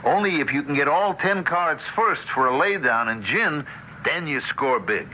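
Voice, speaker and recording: An actor playing a gruff, worldly-wise American husband, brusque and anxious, in mid-century radio drama style. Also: male voice, on the high side, animated, normal speed, pushed and bright, muffled recording. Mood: brisk, instructive. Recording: hissy old radio play recording.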